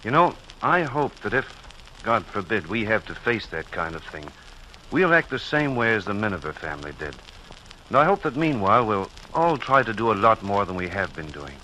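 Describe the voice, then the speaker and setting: A man's voice: fairly low pitch, big pitch swings, moderate pace, bright, forceful tone. An actor playing a fond, earnest middle-aged family father in a 1940s radio drama. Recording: hissy, noisy 1940s radio recording.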